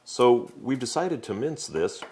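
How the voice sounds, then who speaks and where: A man's voice: mid-range voice, sing-song, unhurried, a little mellow. A man working as a TV chef, explaining each cooking step in a wry, articulate way. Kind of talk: cooking show demonstration.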